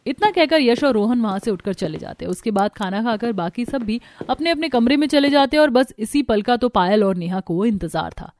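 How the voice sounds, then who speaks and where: High-pitched woman narrating a cartoon story. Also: lively intonation, a little fast, few pauses, darkish tone, no echo.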